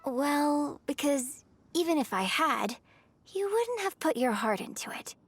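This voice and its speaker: A woman playing a graceful young opera performer in a video game, speaking in a poised, articulate, gently instructive way. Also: high-pitched, big pitch swings, a little slow, dry recording, full character voice. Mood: wistful. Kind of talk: scripted video game character dialogue. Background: music.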